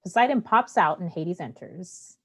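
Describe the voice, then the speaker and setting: A woman's voice: on the low side, animated, moderate pace, slightly rough. A woman reading stage directions aloud as the narrator of a play, in scripted narration.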